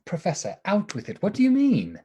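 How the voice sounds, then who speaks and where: An actor playing an impatient, plain-spoken doctor in a radio play, bluntly demanding answers. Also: male voice, sits high, huge pitch swings, a little fast, mellow, slightly rough, muffled recording, heavily acted. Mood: agitated, incredulous.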